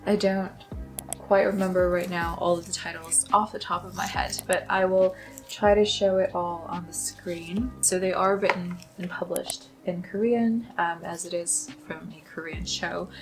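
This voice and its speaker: A young woman chatting casually to camera as a book vlogger, upbeat and quick to laugh. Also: on the low side, fairly even intonation, music in the background, low-quality recording.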